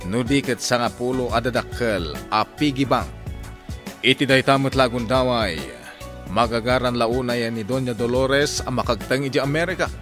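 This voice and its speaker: A man's voice: mid-range voice, normal speed. Male narrator for radio drama, scripted recap delivery, medium register, music bed.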